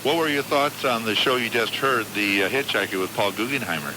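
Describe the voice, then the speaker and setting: A man's voice: mid-range voice, a little fast. A man hosting a live radio call-in show, questioning callers and repeating their answers back to them. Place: radio studio. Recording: noisy live radio broadcast.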